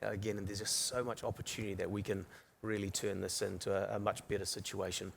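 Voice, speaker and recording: Kiwi man, low gravelly voice, candid panel speaker. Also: flat delivery, fast talker, slight background noise. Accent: New Zealand. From New Zealand. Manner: candid, storytelling, with restarts. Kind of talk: panel discussion. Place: conference stage.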